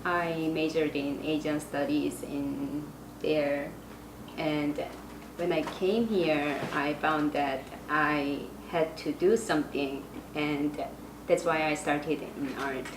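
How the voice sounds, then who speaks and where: A woman's voice: low voice, steady tone, slow pace. A woman with a Korean accent, a native Korean speaker, introducing herself in a personal way. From South Korea. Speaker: artist. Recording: echoey room with clear background noise.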